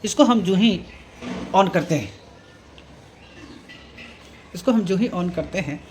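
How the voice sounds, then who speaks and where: A man presenting a hands-on tech tutorial, explaining step by step in a halting, repetitive way. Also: high-pitched, expressive. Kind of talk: hands-on product demonstration. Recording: clearly noisy room recording with ambience.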